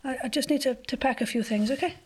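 A woman's voice: sits high, fast, close to an everyday voice. A woman playing a humble, fond village mother of a grown son in an audio drama, speaking hesitantly. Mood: anxious, hesitant. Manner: hesitant, fond, calls listener love. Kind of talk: naturalistic audio drama acting.

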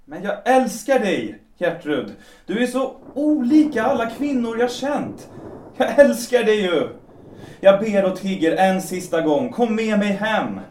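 A young actor voicing Erland, an evasive young lover in an audio drama who excuses himself and then begs. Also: male voice, high voice, sing-song, a little mellow, a little hiss or hum. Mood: begging. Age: young adult. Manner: evasive, self-excusing, bursts of pleading. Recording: roomy echo, off-mic distance.

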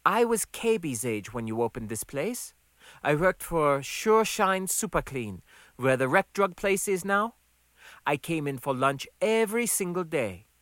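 A self-assured woman in an audiobook, played by a man, pitched somewhat up and swinging expressively. The delivery is firm and teasing, pressing each point with a bright edge. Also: very animated, unhurried, no room sound. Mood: challenging. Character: confident, needling adult woman, longtime diner friend.

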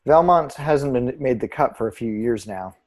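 Casting: actor as measured theatre pundit, male, dark relaxed voice, analytical, easy scripted banter. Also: mid-range voice, close to an everyday voice. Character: measured, analytical co-host giving casting odds. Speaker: co-host of a theatre prediction show. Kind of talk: scripted podcast banter and commentary.

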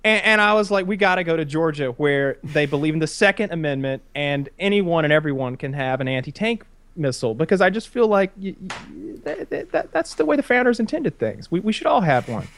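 A man's voice: fairly high pitch, very animated, fairly quick, a bit bright. A man in his thirties in casual chat, rambling with frequent restarts and swearing. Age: 30s.

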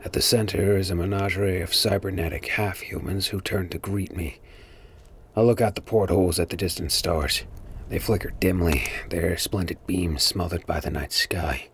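An actor playing a wary, weary space pirate in a brooding, measured audio drama performance. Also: male voice, sits low, creaky. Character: wary, weary male space pirate narrating his captivity. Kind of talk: first-person audio drama narration.

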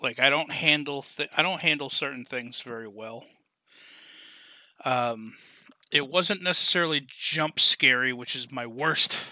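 Male podcast host, very bright and sharp, dry recording, casual and halting. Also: mid-range voice, no room sound.

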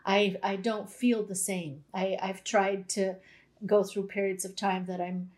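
A woman's voice: medium pitch, level tone, a little slow, a little mellow. A Canadian woman, a naturopathic doctor, explaining nutrition in a conversational interview with everyday examples.

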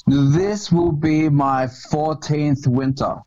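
A man's voice: on the high side, steady tone, slow, a little mellow, no room sound. An Australian man as a podcast guest, laid-back and profane, peppering his talk with "bro" and "man". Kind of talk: casual conversational interview. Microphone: phone on a remote call. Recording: muffled lo-fi phone-call audio.

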